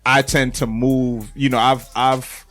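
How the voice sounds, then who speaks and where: A man's voice: medium pitch, level tone, slow pace, fairly bright tone, faint music behind. Podcast host: American man, medium register, informal and slangy, conversational with emphatic repetition. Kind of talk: casual chat.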